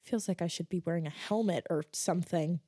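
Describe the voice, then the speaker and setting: A woman's voice: on the low side, fairly quick, warm tone, breathy edge, no echo, clean studio sound. A woman playing a wisecracking young woman on the run in a naturalistic audio drama, with a wry, incredulous manner. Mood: grumbling.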